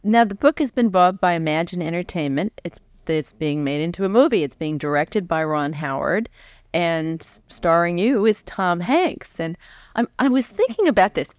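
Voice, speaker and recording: A woman's voice: fairly low pitch, animated, normal speed, no room sound. An American woman in her forties interviewing a guest on stage in an informal, conversational way with some restarts. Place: stage before a live audience. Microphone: large windscreened microphone close in front.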